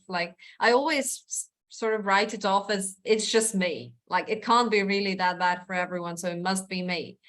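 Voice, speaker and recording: A woman's voice: medium pitch, fairly bright tone. A woman speaking as a panelist in an academic discussion, chatty and self-deprecating, with many fillers.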